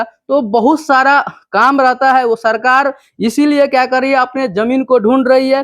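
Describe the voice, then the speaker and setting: High-pitched man explaining, Bihari accent. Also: dry recording, studio quality. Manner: didactic, repetitive, asks and answers own questions. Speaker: video presenter explaining land law. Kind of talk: informal explainer to viewers.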